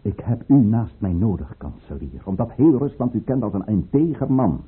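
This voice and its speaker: A low, swooping male voice as a ruthless count plotting against his ruler. He declaims with commanding fervour in an old radio drama, and the muffled recording is narrow as a phone line. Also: lively intonation, moderate pace, slightly rough. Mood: persuasive. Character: ruthless, fervent count leading a conspiracy against the tsar. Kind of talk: Dutch radio play acting.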